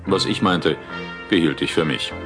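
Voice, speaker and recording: A man's voice: deep voice, normal speed, lightly acted. An actor playing a determined police inspector who narrates his monster hunt in a German audio thriller. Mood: grim narration. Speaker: narrator and lead character. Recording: loud music under the voice.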